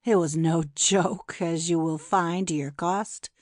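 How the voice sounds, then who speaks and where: A woman's voice: on the low side, fairly even intonation, slow pace, darkish tone, no echo, clean studio sound. A woman playing a cruel male poisoner in an audiobook drama, taunting and menacing as she gloats. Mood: gloating.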